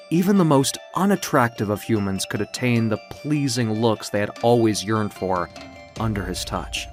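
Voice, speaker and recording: A male storyteller with a mid-pitched, very lively delivery reads a scripted tale. His phrasing is theatrical and deliberate, and music plays behind him. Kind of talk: dramatic scripted storytelling of myth and adventure.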